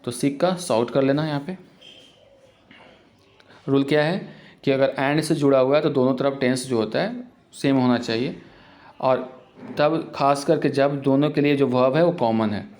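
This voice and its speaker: A male teacher works through grammar errors at an unhurried, measured pace. He explains points conversationally and then reads each English example sentence out slowly and deliberately, as if dictating. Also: medium pitch, a little mellow. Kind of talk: exam-prep grammar lesson.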